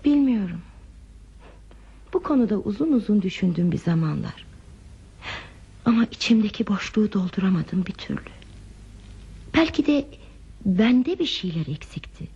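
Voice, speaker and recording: An actress playing a lonely, reflective wanderer in a radio play, speaking in a measured, wistful, philosophical manner. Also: female voice, medium pitch, big pitch swings, mellow, breathy edge, full character voice. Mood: melancholy, reflective. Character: lonely, reflective wandering woman without belongings. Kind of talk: Turkish radio theater acting. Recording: dull, muffled radio drama recording.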